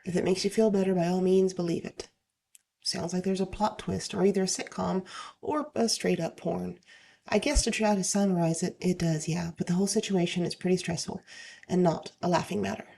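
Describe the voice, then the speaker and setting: A woman with a slightly hoarse voice casually reading online stories aloud as host and commenting off the cuff. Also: on the low side, steady tone, a little fast, dark tone, slight background noise. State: slightly hoarse, getting over illness.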